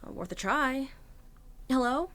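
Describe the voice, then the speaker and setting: A slightly high voice of a caring young first-aider played by a woman in an audio drama. The character is practical and forthright, with an urgent delivery. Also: lively intonation, unhurried, a bit bright. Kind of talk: amateur audio drama acting.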